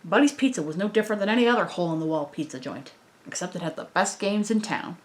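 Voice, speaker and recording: Woman doing robot detective guy, moody deadpan film noir narration, cartoon style, lays it on thick. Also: lowish voice, fast talker, natural voice. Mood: hardboiled, wry. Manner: deadpan, overly descriptive, melodramatic. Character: male android detective narrating in brooding noir monologue. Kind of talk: hardboiled detective narration, amateur dramatic reading.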